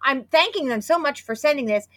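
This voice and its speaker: A woman in her fifties co-hosting a podcast, chatty and excitable, hoarse from losing her voice. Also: sits high, brisk. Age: 50s. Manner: chatty and excitable, with many restarts. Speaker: podcast co-host. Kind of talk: casual podcast chat with sponsor read.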